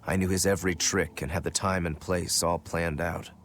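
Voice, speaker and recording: A man's voice: low voice, flat delivery, normal speed, a bit bright, a little hiss or hum. A man voicing a plainspoken, brooding demon swordsman in dubbed video game dialogue. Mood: somber, regretful. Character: male swordsman turned demon, brooding, self-critical eldest-rival brother.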